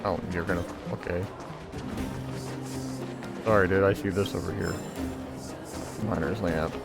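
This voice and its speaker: A man with a sick, tired, low-energy voice giving casual, offhand commentary as a streamer playing a video game. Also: fairly even intonation, a little slow, vocal fry. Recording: game music behind the voice. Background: video game music.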